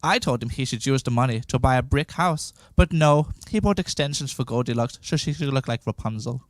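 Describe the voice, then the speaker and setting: A man's voice: mid-range voice, animated, a little fast, no echo, natural voice. A man acting a fussy, earnest baby-faced pig in a comic radio play. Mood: earnest, chatty. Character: fussy baby-faced pig, bereaved brother, storybook character.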